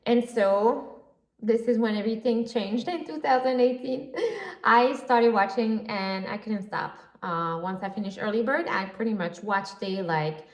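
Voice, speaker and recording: A chatty woman hosts her solo podcast, gushing and rambling with plenty of fillers. Her voice sits at an ordinary middle pitch. The room around her is roomy and echoey. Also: measured pace. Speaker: podcast host. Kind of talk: casual solo podcast chat. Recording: roomy echo.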